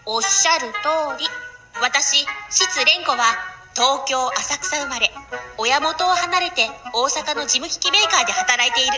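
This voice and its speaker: A woman's voice: high-pitched, unhurried. A woman playing a self-assured single office lady of about thirty, a romantic dreamer narrating her own audio drama. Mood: sheepish. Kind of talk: audio drama acting with first-person narration. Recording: loud music over the voice.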